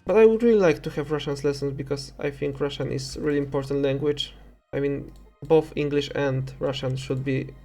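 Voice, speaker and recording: A man's voice: medium pitch, fairly even intonation, fairly quick, hardly any pauses, darkish tone, faint music behind. A young man with a Polish accent speaking as a livestreamer, chatting casually with viewers in a rambling, hesitant way and often correcting himself. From Poland. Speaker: video game livestreamer. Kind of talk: casual chat with viewers while streaming.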